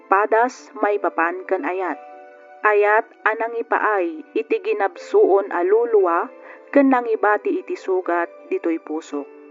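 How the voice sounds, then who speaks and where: A woman's voice: mid-range voice, a little slow, natural voice. A woman playing a wistful letter writer who narrates in an old-style radio drama, on a tinny recording. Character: wistful female letter writer narrating her lost love. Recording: tinny phone-like radio sound with music.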